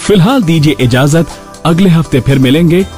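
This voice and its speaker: A man's voice: fairly high pitch, normal speed, darkish tone. A man with an Indian accent presenting a scripted radio show as its host. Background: background music.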